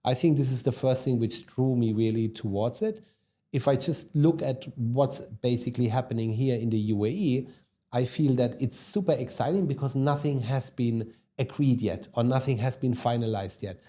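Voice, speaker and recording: German-accented man, mellow conversational voice. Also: mid-range voice, moderate pace. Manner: conversational, frequent ums and tag questions. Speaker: guest, e-commerce executive. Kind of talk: podcast interview.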